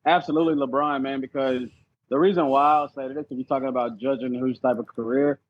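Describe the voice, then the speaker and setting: A man's voice: mid-range voice, fairly even intonation, fast talker, dry recording, muffled recording. A man as a podcast guest in a casual sports debate, arguing his points with teasing emphasis.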